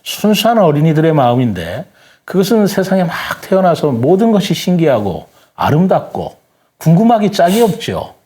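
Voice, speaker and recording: An older man speaking as an interview guest, giving his opinions earnestly in a deliberate, formal and polite way. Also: a little high, very animated, warm tone.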